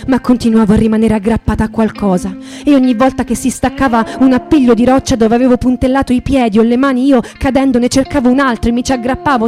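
A woman with a fairly high, velvety voice recites literary text as radio theatre. Her delivery is deliberate and incantatory. Acoustic guitar plays softly behind her. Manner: poetic, deliberate, repeating phrases like a litany. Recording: voice over acoustic guitar music.

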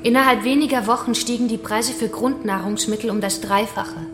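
An actress playing a vengeful kidnapper in a radio crime drama, composed and taunting, speaking deliberately. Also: female voice, fairly high pitch, natural voice. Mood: bitter, reflective. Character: vengeful female kidnapper, controlled, taunting, bitterly righteous. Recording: echoey room with music behind.

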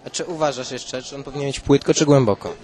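A man's voice: mid-range voice, steady tone, quick pace, darkish tone. A man with a Polish accent doing playful vox-pop interviews on the street as a co-host, mock-serious in manner. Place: outdoors on a city street. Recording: noisy outdoor street ambience.

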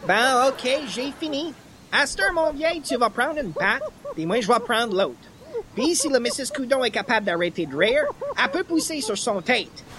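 A man's voice: very high voice, very animated, normal speed, a crowd in the background. An actor playing a blunt, practical handyman who speaks slangy Quebec French with an English accent in a radio comedy. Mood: bossy, practical. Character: rough anglophone handyman speaking broken franglais, blunt, practical. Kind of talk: old-time radio comedy acting.

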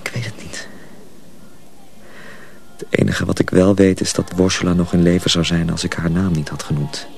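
Deep, gravelly, grave journeyman mill hand. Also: little pitch movement, moderate pace, pauses often, darkish tone, soft background music. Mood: sorrowful, warning. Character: grave older journeyman mill hand, protective, sorrowful, secretive. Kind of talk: Dutch radio drama acting.

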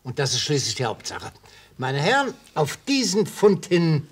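A wily old prosecutor from a radio play. His husky voice swings widely in pitch as he probes with florid, gleeful relish, sometimes sinking toward a whisper. He is retired and savours every word like a gourmet. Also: very animated, normal speed. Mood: gleeful, sly. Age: older. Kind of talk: German radio play acting.

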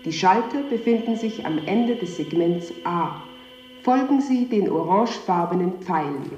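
An actress voices an impersonal female announcer at a spaceport in a 1970s radio play. Her tone is mellow and official, echoing as over a public address, with faint music. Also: medium pitch, lively intonation, unhurried, close to an everyday voice.

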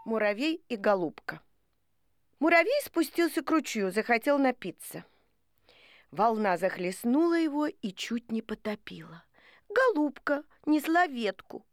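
A woman narrating animal fables in an expressive storybook style. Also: a little high, normal speed, dry recording, studio quality.

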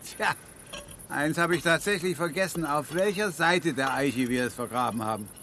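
An actor playing a mocking, unrepentant warrior in a Western radio drama, with a nonchalant, taunting delivery. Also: male voice, on the high side, moderate pace, sharp tone, slight background noise.

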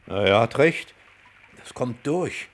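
An actor playing a flippant, teasing young man with rough charm in a German radio play, speaking colloquially. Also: mid-range voice, expressive, takes it slowly. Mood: breezy.

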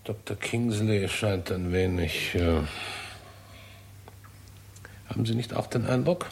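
Low-voiced, formal male official. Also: vocal fry, a little mellow, slight background noise. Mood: discreet. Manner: measured, stiff, tactful. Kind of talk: 1960s German radio drama acting.

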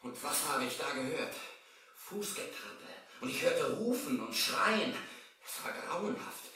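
An actor playing a frightened, pious and loyal servant, agitated and pleading, in a radio play. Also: male voice, a little high, very animated, a little slow, sharp tone, heavily acted. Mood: horrified. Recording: echoey distant old vinyl record.

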